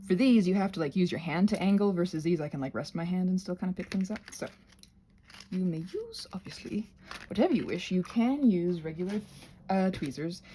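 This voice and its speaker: A woman's voice: lowish voice, big pitch swings, a little fast, mellow, slight background noise. A woman talking through a craft demonstration in a rambling, theatrical, self-interrupting way, chatting to herself and to objects, recorded on a phone. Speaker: craft video creator demonstrating butterfly wing prep. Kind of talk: rambling casual craft tutorial with asides to self.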